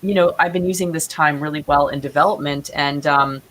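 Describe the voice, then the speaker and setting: Casting: woman with a low voice, playwright guest on a chat show, earnest and explanatory, faint hiss on the recording. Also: fairly even intonation, brisk, fairly bright tone. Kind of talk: casual interview chat about own projects. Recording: clear background hiss.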